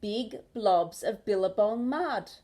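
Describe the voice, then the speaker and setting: A woman's voice: medium pitch, lively intonation, slow pace, warm tone. A woman voicing an easygoing, friendly male platypus who gives advice in a children's picture book. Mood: friendly, helpful.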